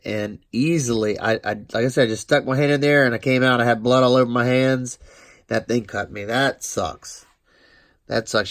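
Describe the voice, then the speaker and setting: A man with a blocked, nasal voice from congestion talks through a knife review for his channel. His delivery is loose and conversational, with restarts and asides aimed at his viewers. His pitch sits in an everyday middle range. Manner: rambling, loose, self-correcting, speaks to viewers directly. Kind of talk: casual product review vlog.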